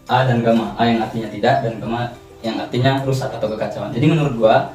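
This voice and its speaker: A man's voice: mid-range voice, fairly even intonation, quick pace. A man in his twenties, a student, explaining his views casually in slangy speech with restarts. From Indonesia. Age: 20s. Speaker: student interviewee. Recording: roomy distant mic with music.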